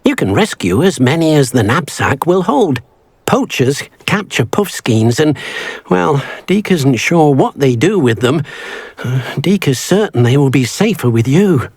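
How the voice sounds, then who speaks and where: A man's voice: sing-song, unhurried, darkish tone, no echo, full character voice. An actor voicing a small, timid male house-elf servant in a video game, who talks about himself by name in an eager, fussy way. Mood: worried. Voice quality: husky, high squeaky. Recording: game audio with faint music.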